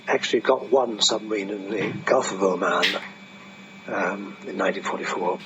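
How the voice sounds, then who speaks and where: An older man reminisces in an interview, pausing and restarting as he recalls. His voice is fairly low, and the dull archive recording carries clear background noise. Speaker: air force veteran interviewee. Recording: noisy, muffled archive interview tape.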